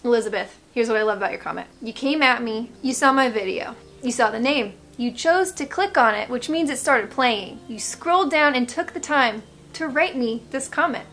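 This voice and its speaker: An American woman, around her thirties, giving casual reaction commentary as a vlog host with playful sarcasm and animated asides. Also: a little high, normal speed, few pauses. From United States.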